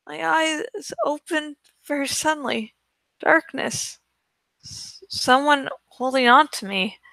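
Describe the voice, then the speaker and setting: A woman narrates a novel with a fairly high, bright voice. She takes her time and acts out the story expressively, recorded close and dry. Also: gravelly, no room sound, low-quality recording. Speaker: audiobook reader. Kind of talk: dramatic first-person novel narration.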